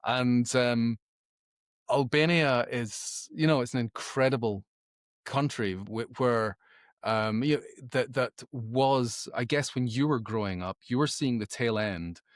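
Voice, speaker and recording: A man's voice: medium pitch, measured pace, dry recording, studio quality. A man speaking as a podcast guest in conversation, emphatic and opinionated, often repeating words as he thinks. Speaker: podcast guest, author and creativity educator.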